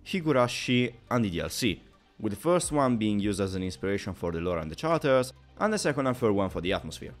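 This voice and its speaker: A man narrating a scripted video game review, explaining at length and speaking directly to the viewer. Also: mid-range voice.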